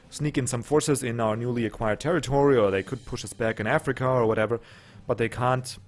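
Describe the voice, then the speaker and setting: A young man, not a native English speaker, casually commenting on his strategy game as he plays, thinking aloud and joking with his units. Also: on the low side. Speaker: let's play host.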